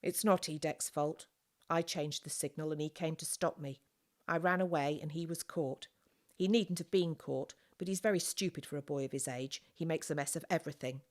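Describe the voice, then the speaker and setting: A woman's voice: sits low, normal speed, lightly acted. A young orphan boy in a storybook, played by a woman reader, sullen and defiant. He talks back bluntly, with a cheeky edge. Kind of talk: audiobook character dialogue.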